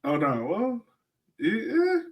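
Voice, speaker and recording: Higher-voiced man, slightly distant from mic. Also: extremely slow. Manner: animated storytelling with acted-out quotes and slang. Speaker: podcast co-host. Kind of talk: casual sports podcast chat.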